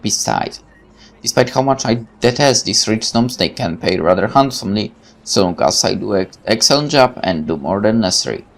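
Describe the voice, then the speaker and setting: A man's voice: on the low side, animated, normal speed. A man hosting a let's play, reading game text aloud and adding rambling casual asides, sometimes stumbling over words. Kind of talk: reading a visual novel aloud with casual commentary.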